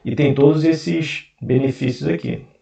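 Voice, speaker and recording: Carioca man, gravelly voice, hesitant casual explainer, mid-pitched, recorded in a roomy space from a distance on a dull mic. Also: unhurried, warm tone. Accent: Carioca Portuguese. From Brazil. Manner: hesitant, many fillers and restarts. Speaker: investment channel host. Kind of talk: casual screen-share explainer.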